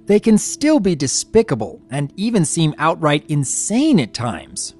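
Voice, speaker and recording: A man giving scripted review commentary with formal, measured wording. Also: a little high, wildly swooping pitch, a little mellow, faint music behind. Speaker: guest reviewer.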